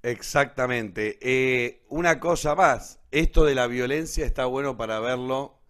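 An Argentine man hosts an informal online talk on literature. His voice sits at an ordinary pitch for a man. He explains in a didactic way and often seeks agreement with little tag questions. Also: a little slow, fairly bright tone. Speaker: host introducing literature.

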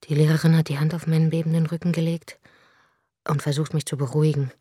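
A woman's voice: deep voice, little pitch movement, quick pace, mellow, husky, dry recording, clean studio sound. An actress playing a grieving, childless woman who tells her story in a wry, bitter radio drama monologue.

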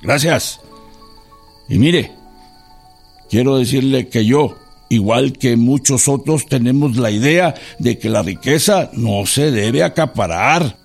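Radio drama revolutionary: polite, persuasive Mexican gentleman, husky, faint music behind. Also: mid-range voice. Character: earnest, educated revolutionary businessman pleading his cause.

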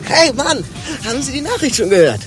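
An actor playing an exuberant, excitable messenger in a radio play, with whooping, exclamatory delivery. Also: male voice, extremely high pitch, fairly quick. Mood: excited. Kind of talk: theatrical radio play acting. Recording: music behind the voice.

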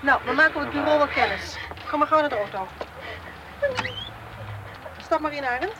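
An actress playing a brisk, caring but impatient policewoman in a naturalistic radio play, direct and down-to-earth. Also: high-pitched, natural voice. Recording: radio drama with background noise.

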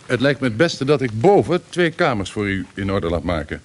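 An actor playing a wealthy, boastful, self-important gentleman in a Dutch radio play. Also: lowish voice, big pitch swings, a little fast, a little hiss or hum. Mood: boastful, eager. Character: wealthy boastful gentleman showing off his country estate.

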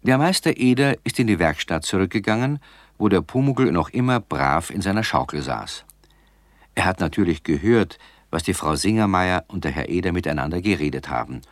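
An actor narrating a children's radio play as a calm storyteller. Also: male voice, sits low, fairly quick, no echo. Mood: calm, amused.

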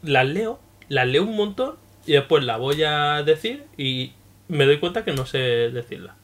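A man's voice: fairly high pitch, animated, measured pace, fairly bright tone. A Catalan-speaking man from Mallorca, co-hosting a casual chat, telling rambling stories with frequent laughs. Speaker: podcast co-host.

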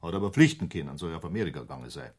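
An actor playing a condescending, self-satisfied provincial official in a radio crime drama, with a Bavarian accent and sardonic manner. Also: male voice, very deep voice, expressive, rapid-fire, darkish tone, husky, heavily acted. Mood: scornful, amused. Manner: patronising, sardonic.

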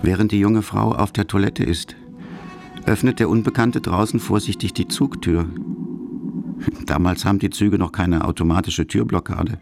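A man narrating a radio documentary from a script, sober and in deliberate short phrases. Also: low voice, fairly even intonation, gravelly, music in the background.

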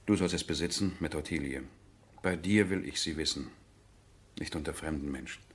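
A brooding, lovesick aristocrat in a 1970s German radio drama. His voice is low and husky, his phrasing formal and deliberate, with a stubborn streak. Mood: resolute, wounded. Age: middle-aged.

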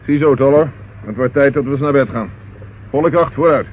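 An actor playing a shrewd, persistent police inspector in a radio drama, speaking with dry, controlled irony. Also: male voice, medium pitch, fairly quick, phone-quality sound, close to an everyday voice. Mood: businesslike. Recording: thin, noisy old radio recording.

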